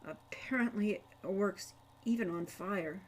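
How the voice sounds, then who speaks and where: A woman's voice: medium pitch, a little slow, a little hiss or hum, pitched below the performer's own voice, natural voice. A teenage boy magician, played by a woman reader in a storybook tale. He sounds drained and subdued, speaking in a weak, hesitant manner. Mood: weak calm.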